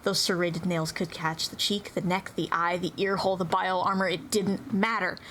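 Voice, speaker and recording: A woman's voice: on the low side, steady tone, moderate pace, a bit bright. A woman reading scripted narration dramatically, with intense, theatrical phrasing. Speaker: player reading own turn in a dramatic roleplay reading. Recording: clearly noisy background.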